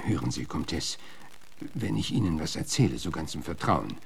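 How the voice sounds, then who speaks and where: An actor playing a gruff, plain-spoken middle-aged frontier marshal in a German radio drama, halting, folksy and awkwardly courteous, with a gravelly voice. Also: male voice, extremely low pitch, sing-song, full character voice. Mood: reassuring, confiding. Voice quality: gravelly, husky.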